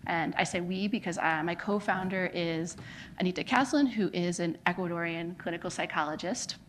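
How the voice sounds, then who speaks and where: A woman's voice: on the low side, normal speed, a bit bright, slight background noise. An American woman giving a conference talk as a charity founder, earnest, with frequent fillers like "kind of" and "like". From United States. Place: conference venue.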